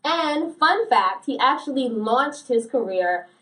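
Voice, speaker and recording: A woman's voice: high voice, animated, slow, fairly bright tone, distant mic. American woman interviewer, slightly off the mic. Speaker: interviewer, host. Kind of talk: casual, conversational interview hosting.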